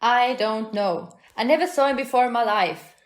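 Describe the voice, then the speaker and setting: A woman's voice: a little high, normal speed, reverberant, lightly acted. A woman playing a feisty, unflappable single hotel resident in an audio play, speaking in a brusque, plain-spoken, indignant way. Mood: evasive, defensive.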